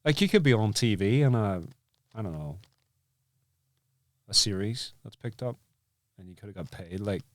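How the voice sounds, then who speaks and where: Man, deep low voice, dry close recording, casual interview host, halting think-aloud delivery. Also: expressive, dry recording.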